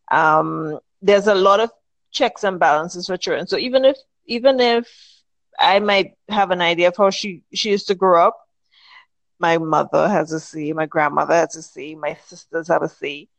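A woman in her thirties from the Caribbean, a podcast guest speaking in a thoughtful, measured way with frequent restarts. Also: on the low side, dry recording, rough recording. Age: 30s. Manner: thoughtful, measured, many restarts while finding words. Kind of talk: casual interview chat.

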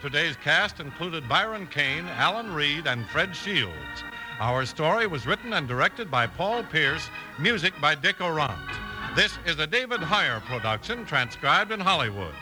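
A man's voice: on the high side, very animated, unhurried, poor audio. An American man announcing a vintage radio show from a script, with emphatic, showy delivery. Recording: old radio recording over music.

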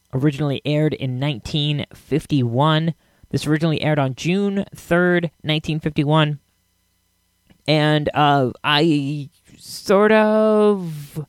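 A man's voice: a little high, no room sound. A man hosting a podcast, introducing it in an informal, chatty way. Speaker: podcast host. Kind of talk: casual scripted-then-chatty podcast introduction.

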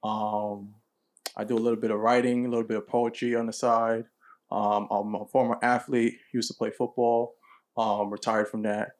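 A young man from New York hosting a casual podcast, talking in a relaxed way with lots of ums. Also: lowish voice, normal speed. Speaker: podcast host.

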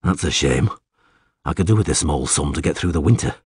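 A man voicing a terse, weary monster hunter with dry wit in an audiobook, speaking in a laconic, deadpan way. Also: extremely low pitch, a little fast, husky, dry recording, pitched below the performer's own voice, heavily acted. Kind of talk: audiobook character acting.

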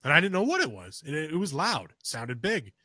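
A man's voice: a little high, huge pitch swings, brisk, breathy edge, no room sound, studio quality. A man co-hosting a podcast, telling a personal story casually, rambling with many restarts and fillers. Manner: rambling, full of restarts and "you know"; gets louder and more urgent as the story builds. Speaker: podcast co-host.

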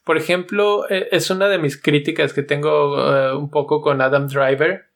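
A man's voice: a little high, fairly even intonation, moderate pace, gravelly. A man with a Mexican accent giving a casual, conversational review as a podcast host, informal and thinking aloud as he goes.

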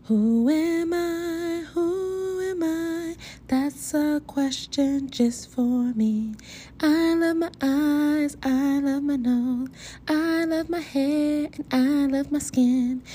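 A woman educator reading poetry for small children in a gentle, measured recitation. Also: high-pitched. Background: soft lullaby music.

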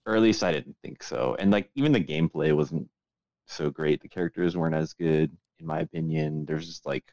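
A man's voice: rumbling low, a little fast, a little mellow, no room sound. A man chatting casually as a podcast host, swearing freely and giving opinions with plenty of hedging. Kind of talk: casual chat, profane.

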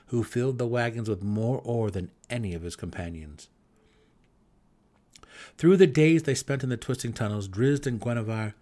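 Man, lowish pitch, dramatic scripted narration, fantasy audiobook. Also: darkish tone.

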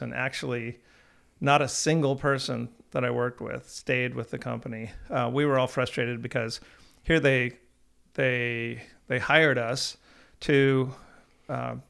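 A man's voice: mid-range voice, level tone, unhurried, vocal fry, fairly bright tone, clear, resonant tone. A man in his thirties, a company founder, telling his story in a casual podcast chat, slightly nasal and rambling with frequent restarts. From Oregon.

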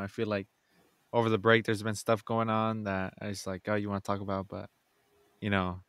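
A man co-hosting a sports podcast, talking in a calm, laid-back way. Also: lowish voice, clear, resonant tone, no room sound. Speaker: podcast co-host. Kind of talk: casual sports podcast chat.

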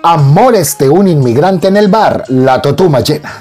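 A male narrator with a fairly high, animated voice and a mellow tone. He tells a radio soap opera in a melodramatic, scripted style. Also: unhurried, soft background music.

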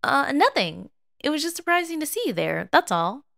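A woman plays an anxious teenage boy in an audio story. The voice is pitched high and jumps around a lot, with hesitant, playful bravado and a pleading edge. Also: big pitch swings, normal speed, creaky, fairly bright tone, heavily acted. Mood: nervous teasing. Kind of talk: audio drama fan fiction reading.

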